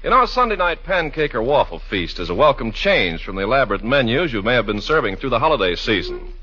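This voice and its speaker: A man's voice: medium pitch, sing-song, quick pace, sharp tone, husky. An American man announcing in a polished, selling 1940s radio advertisement style. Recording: muffled 1940s radio recording.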